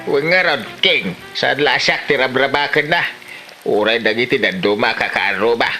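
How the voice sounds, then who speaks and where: A man's voice: medium pitch, normal speed, sharp tone, faint music behind. A man playing a grumpy, easily offended older village man in an Ilocano comedy drama, grumbling threats with a northern Philippine accent. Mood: indignant.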